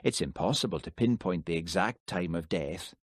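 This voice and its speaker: A quiet, shrewd country constable who speaks in a calm, measured way, as a male reader puts him on. The voice is low, dry and close. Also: slightly rough, no room sound.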